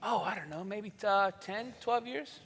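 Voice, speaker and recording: A man's voice: high voice, a little slow, fairly bright tone, heavily acted. A man playing a genial, rambling veteran host of an old-time radio show on stage. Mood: musing. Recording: echoey hall with long reverb.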